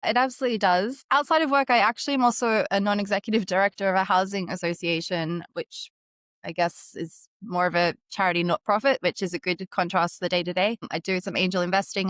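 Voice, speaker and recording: A woman's voice: on the low side, a bit bright, no room sound, clean studio sound. A woman with an Australian accent, answering interview questions about her career in an articulate, upbeat way. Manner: articulate, upbeat, laughs lightly while telling stories. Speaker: fintech executive guest.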